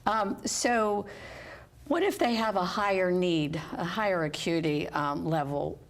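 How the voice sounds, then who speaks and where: A woman's voice: fairly low pitch, measured pace. A woman from West Virginia hosting a health interview show, encouraging her guests and complimenting them as she asks follow-up questions. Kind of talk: friendly interview.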